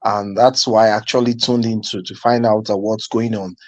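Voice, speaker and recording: A man's voice: lowish voice, flat delivery, no room sound. Nigerian man calling in, dull line. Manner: measured, with many fillers. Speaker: caller. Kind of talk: call-in opinion.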